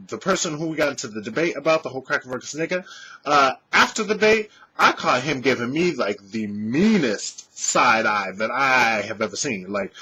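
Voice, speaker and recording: A man in his thirties, a vlogger, telling a casual story to his webcam in a small room at home, animated and swearing freely. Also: mid-range voice, breathy edge, rough recording. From California. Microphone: webcam at desk distance.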